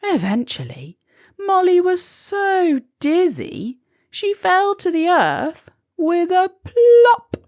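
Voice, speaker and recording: Very slow, expressive woman storyteller. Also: extremely high pitch, dry recording, studio quality. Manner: deliberate, emphatic pauses between phrases. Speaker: children's audiobook narrator. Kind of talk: expressive scripted storybook narration.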